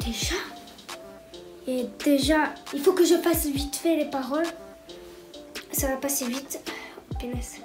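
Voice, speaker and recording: A woman's voice: high voice, unhurried, pauses often, music in the background. A woman talking casually and informally, like a guest in a video challenge. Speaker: guest musician in a timed song challenge. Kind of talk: casual chat. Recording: roomy, echoing sound.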